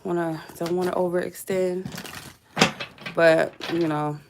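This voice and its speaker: A woman hosting a live tarot reading with a stuffy cold, rambling with many fillers and dropping to quiet murmured prayers between cards. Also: on the low side, level tone, a little slow, heavy background noise.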